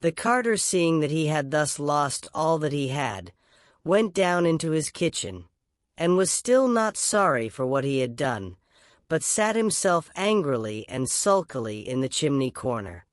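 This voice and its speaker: A storyteller giving an expressive scripted reading in a storybook narration style. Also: medium pitch, unhurried, dry recording, studio quality.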